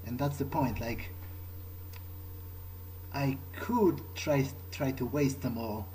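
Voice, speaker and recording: A man's voice: medium pitch, takes it slowly, mellow, slight background noise, natural voice, low-quality recording. A man playing a cautious, wry vampire fledgling in an improvised video game playthrough, thinking aloud hesitantly. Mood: musing, hesitant. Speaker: gamer playing in character. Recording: game music under the voice.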